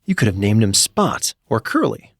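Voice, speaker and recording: A man voicing a kind, fidgety young man, polite and hurried, in a comic story. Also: on the low side, big pitch swings, vocal fry, no room sound, clean studio sound, heavily acted. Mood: puzzled.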